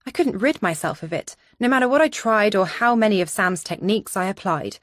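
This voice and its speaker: A woman's voice: mid-range voice, lively intonation, fairly quick, no echo, clean studio sound. A woman reading scripted first-person thriller narration as an audiobook narrator.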